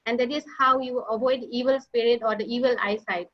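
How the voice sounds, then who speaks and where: A woman giving a slide presentation as a nurse leader, halting often with fillers and restarts. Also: a little high, steady tone. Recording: dull lo-fi online audio.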